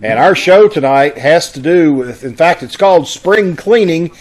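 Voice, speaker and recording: Folksy man hosting, slightly high voice. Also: lively intonation, normal speed. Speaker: host of a live online radio-troupe broadcast. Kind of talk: casual hosting with announcements. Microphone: video call.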